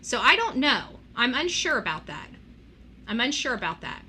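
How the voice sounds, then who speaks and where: A woman runs a casual live call-in talk show. Her voice sits on the higher side with a very bright, forceful edge. She speaks bluntly and gives her opinion freely. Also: sing-song, normal speed, a little hiss or hum.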